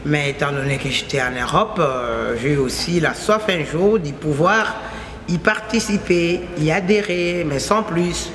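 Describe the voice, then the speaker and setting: A woman's voice: deep voice, unhurried, fairly bright tone, slight background noise. Congolese woman giving religious testimony. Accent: Congolese French. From Kinshasa, Congo. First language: Lingala. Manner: repetitive, emphatic, phrases restated in lists. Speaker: testifying believer.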